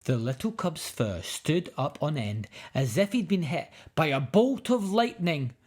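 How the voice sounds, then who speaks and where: A woman reading a picture book aloud to young children in an expressive, dramatic way. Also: low-pitched, unhurried. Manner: expressive, dramatic, with emphatic sound words.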